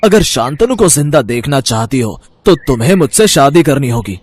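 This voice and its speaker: A man's voice: mid-range voice, very animated, normal speed, darkish tone, slight background noise, close to an everyday voice. A man playing a wicked, lovesick, scheming snake charmer in a cartoon, with a melodramatic manner. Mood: gloating. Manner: melodramatic, pleading and gloating.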